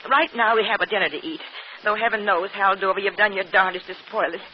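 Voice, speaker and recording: A woman's voice: medium pitch, fairly even intonation, quick pace, natural voice. A weary, scolding middle-aged housewife played by a woman in a vintage radio play. She is plain-spoken and bossy. The sound is muffled, with faint noise behind her. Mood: fussing, bossy. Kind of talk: 1940s radio acting. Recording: muffled, noisy old radio broadcast.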